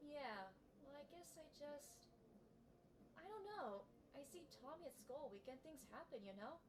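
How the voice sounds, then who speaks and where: A woman's voice: high-pitched, lively intonation, a little slow, background noise. A woman playing a girlish, flippant and hesitant teenage superhero girl with a crush in an audio drama. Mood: evasive.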